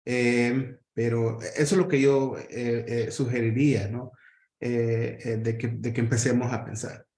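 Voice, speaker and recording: A man speaks earnestly on a video call, working through his point with frequent pauses and restarts. His voice sits mid-range and rings in an echoey room. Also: medium pitch, flat delivery, darkish tone. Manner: earnest, halting with fillers and restarts. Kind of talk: workshop discussion.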